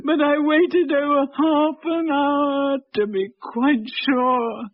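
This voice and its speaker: A slow, grieving, starving widow. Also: female voice, high voice, fairly even intonation. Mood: anguished. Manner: slow, mournful, anguished delivery. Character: starving, grieving village widow and mother, bitter and despairing. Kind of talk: dramatic monologue in a horror radio play. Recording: dull lo-fi radio drama recording.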